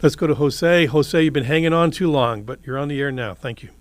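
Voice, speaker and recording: A man hosting a radio talk show, introducing a guest and taking calls briskly. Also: fairly high pitch, no echo. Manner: brisk, conversational. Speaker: host.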